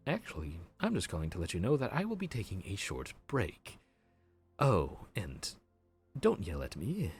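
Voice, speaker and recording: A man plays a grim reaper who runs an underworld office like a tired bookkeeper, chatty and a little awkward. His voice sits low but swoops widely up and down as he banters, with soft music behind him in this audio roleplay. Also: wildly swooping pitch, normal speed, husky, full character voice. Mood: teasing. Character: friendly, flustered male grim reaper supervisor. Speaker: voice actor.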